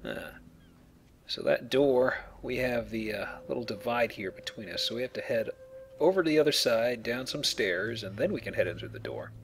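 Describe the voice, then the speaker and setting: A man's voice: mid-range voice, expressive, normal speed, creaky, slight background noise. A man hosting a let's play with casual, playful commentary and jokey asides. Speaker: let's play host and player.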